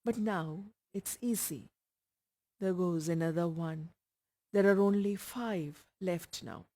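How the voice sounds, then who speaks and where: Woman as sick young woman, storybook, resigned, listless, unhurried, slightly dark tone. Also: lowish voice. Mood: resigned, fatalistic. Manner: quiet, resigned.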